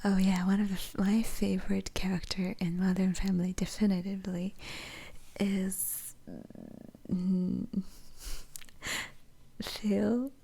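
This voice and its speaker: A woman's voice: medium pitch, level tone, slow, vocal fry, pauses often, warm tone, breathy edge. A woman whispering close to the mic in a casual ASMR chat, giggling often and rambling with fillers.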